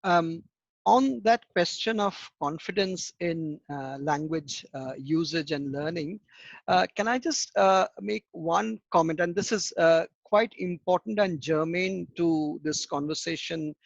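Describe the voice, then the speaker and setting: A man's voice: on the high side, a little slow, vocal fry, no room sound, lo-fi sound. A man speaking on a panel, hesitant and full of "uh" fillers. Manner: hesitant, many "uh" fillers and restarts. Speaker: panelist, education expert. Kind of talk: panel discussion.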